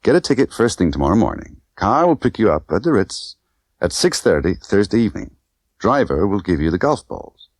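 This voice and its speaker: A man's voice: lowish voice, very animated, a little fast, darkish tone, breathy edge, no echo, clean studio sound, full character voice. A man voicing a tough, condescending young woman smuggler who talks in clipped, bossy slang. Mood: brisk, commanding.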